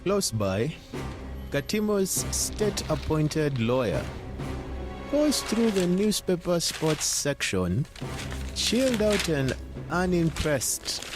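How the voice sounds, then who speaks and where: A man narrating an audio drama in a theatrical, measured, suspenseful way. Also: medium pitch. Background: music.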